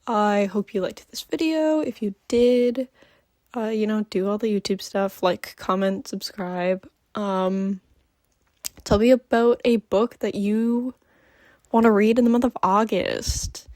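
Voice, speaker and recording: A woman's voice: medium pitch, fairly even intonation, takes it slowly, darkish tone, no room sound, studio quality. A woman chatting casually in a book review vlog, just woken up, gushing with lots of ums. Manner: gushing, filler-heavy, many ums. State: just woke up. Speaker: book vlogger.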